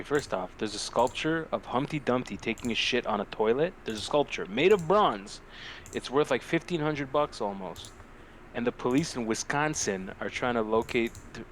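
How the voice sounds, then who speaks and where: Male podcast co-host, mid-pitched, slangy and profane, jokey chat, audible background noise. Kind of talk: casual podcast chat, weird news banter.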